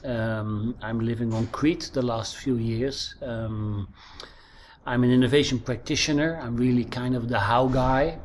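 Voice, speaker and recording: A middle-aged man with a Dutch accent talks as a guest expert in an interview. His pitch is medium and he leans on key phrases by repeating them. Faint ambient noise sits in the recording. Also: fairly even intonation, measured pace. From Netherlands. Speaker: interview guest, innovation trainer and author. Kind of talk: conversational explanation in an interview. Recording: clearly noisy recording with ambience.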